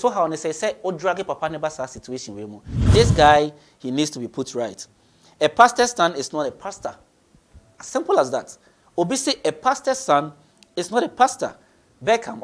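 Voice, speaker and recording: A man's voice: on the high side, very animated, a little fast. A man with a Ghanaian accent hosting radio commentary, giving opinions emphatically, repeating phrases for stress and switching between two languages. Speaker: radio show host. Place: radio studio.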